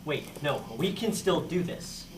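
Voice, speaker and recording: A woman's voice: low voice, expressive, measured pace. A woman reading character parts at a comedy script table read. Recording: noisy echoey room, far from mic.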